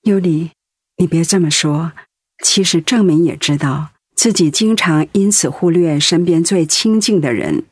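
Radio drama widow: slightly low pitch, gentle, earnest, devoted. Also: female voice, a little slow, breathy edge, no echo, studio quality. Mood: earnest, tender. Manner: earnest, tender. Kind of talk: Mandarin radio drama acting.

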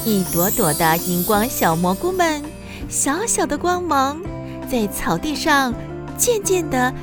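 A woman tells a children's story as narrator, gentle and dramatic. Her fairly high voice swings widely in pitch. She takes her time with each phrase, with music clearly playing behind her.

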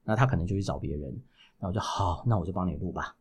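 A man's voice: sits low, fast, slightly rough. A man with a Taiwanese Mandarin accent speaking as a guest voice actor in a casual podcast interview, explaining things and rephrasing his points for emphasis.

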